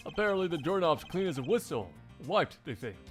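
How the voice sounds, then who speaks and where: A man's voice: fairly high pitch, big pitch swings, brisk, fairly bright tone, soft background music. A man voicing a loyal, scruffy male detective in a live one-man fan dub of a game, with an eager manner. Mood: earnest. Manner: eager, with a trailing "sir".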